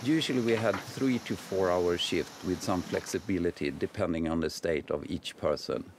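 A man's voice: low-pitched, normal speed, a little hiss or hum. A middle-aged man with a Swedish accent, a native Swedish speaker, narrating a travel story in English from a script. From Swedish mountains. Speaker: sailor and vlogger. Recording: voice-over with music behind. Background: music.

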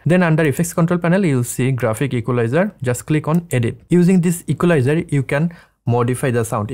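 A man in his thirties hosting a casual software tutorial, explaining things step by step. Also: mid-range voice. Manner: step-by-step instructing. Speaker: tutorial host. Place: small indoor studio room.

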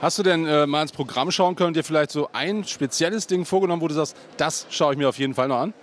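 A man's voice: on the high side, fast, a little hiss or hum. Chatty male event reporter with handheld mic. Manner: chatty, leading questions with frequent fillers. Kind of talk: on-site event interviews and wrap-up to camera. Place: large indoor convention hall. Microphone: handheld microphone.

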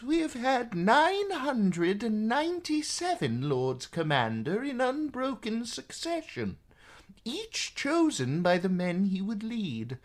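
A frail ancient maester, thin and high. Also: male voice, full character voice. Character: ancient frail old male maester, calm.

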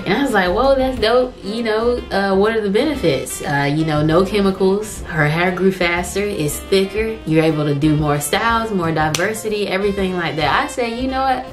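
A young woman talks straight to a camera set up in her living room, chatty and animated, slipping into the voices of people she quotes. Her voice sits on the low side, and faint music plays quietly behind her. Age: young adult. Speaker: hair vlogger. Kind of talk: casual chat to camera. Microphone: camera on tripod at medium distance.

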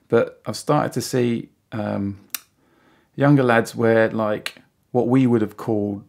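Gravelly, low British man, rambling. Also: darkish tone. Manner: rambling, frequent "you know". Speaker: podcast guest. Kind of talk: casual chat.

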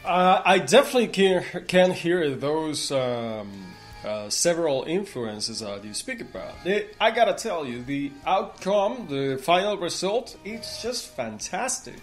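A man hosting a music interview show, speaking effusively with halting phrasing. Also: a little high, sing-song. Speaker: radio talk show host. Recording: music playing behind the voice. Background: music with guitar.

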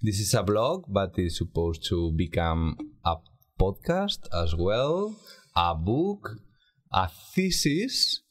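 A man with a Spanish accent hosting an informal interview, speaking English in halting, simple non-native phrasing. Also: low voice, expressive, slightly rough. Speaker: interview host.

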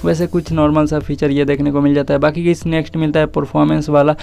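A man's voice: a little high, level tone, fast, gravelly, a little mellow, clear, resonant tone. A man with an Indian accent doing a casual tech walkthrough voice-over, mixing in English words and addressing viewers directly. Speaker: tech YouTuber, host.

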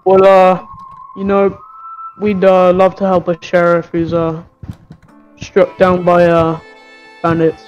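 A man playing a polite, folksy Wild West sheriff in an improvised game roleplay, speaking haltingly with many pauses. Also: sits high, completely flat delivery, clean tone. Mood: sympathetic. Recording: dull muffled audio with guitar music behind.

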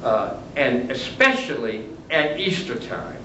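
A man's voice: medium pitch, sing-song, takes it slowly. An older man, a retired literature professor, lecturing at a lectern in a large room, chatty and humorous with chuckles at his own jokes. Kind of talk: lecture with asides. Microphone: camera at the back of the room. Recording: roomy, distant, dull, noisy camera audio.

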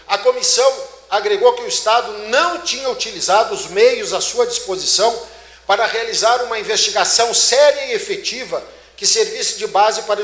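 A man's voice: high voice, a bit bright. A middle-aged Brazilian man giving a law lecture on stage, emphatic and full of rhetorical questions to the audience. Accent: Brazilian Portuguese. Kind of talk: conference lecture. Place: large dark auditorium, on stage. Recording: echoey auditorium.

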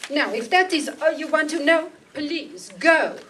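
Indignant, disdainful mademoiselle played by an older woman, formal and slow-spoken, higher register. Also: a bit bright. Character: haughty young French woman, indignant suspect. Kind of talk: old-time radio acting before an audience. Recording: live stage pickup, distant, background noise.

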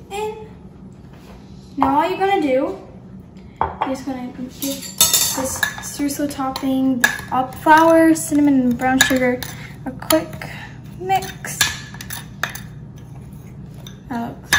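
Teen girl home-cooking host, high-pitched, enthusiastic, echoey kitchen room. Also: noisy background. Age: teens. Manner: bubbly, chatty, enthusiastic. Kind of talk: casual cooking demo.

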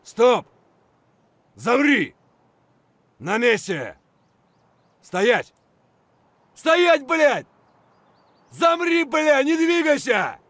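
High-strained, barking soldier. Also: male voice, very animated, very slow, long pauses, fairly bright tone, no echo, heavily acted. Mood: angry commanding. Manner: barking, profane. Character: aggressive foul-mouthed male soldier under fire, urgent and commanding. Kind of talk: shouted military game voice lines.

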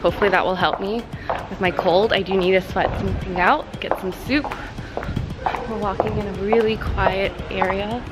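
A woman's voice: medium pitch, steady tone, normal speed, loud music behind the voice. A young woman, congested and tired from a cold, chatting casually to a handheld camera as she walks around a city. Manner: chatty, self-deprecating. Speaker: vlogger. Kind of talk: casual travel vlog. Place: outdoors in city streets and gardens. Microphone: handheld camera at arm's length.

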